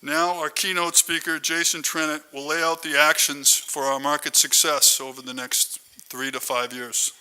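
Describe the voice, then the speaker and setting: A man's voice: on the high side, flat delivery, normal speed, sharp tone, smooth, rough recording. A man hosting a conference, giving a formal, prepared introduction speech. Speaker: conference host introducing keynote speaker.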